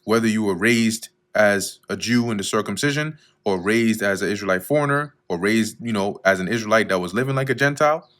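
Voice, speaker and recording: A man teaching verse by verse through scripture, reading each verse slowly and then explaining it in a casual way with fillers, often clearing his throat. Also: fairly low pitch, fairly bright tone. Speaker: Bible teacher.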